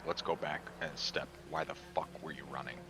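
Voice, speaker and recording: A man's voice: very deep voice, flat delivery, fairly quick, noisy background. A man playing a tabletop game, talking casually at the table in a blunt, insistent way.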